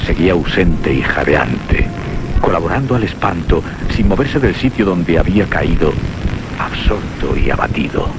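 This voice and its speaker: A very deep-voiced man narrates a radio horror play with solemn drama. Loud rumbling, windy noise fills the background. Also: big pitch swings, normal speed.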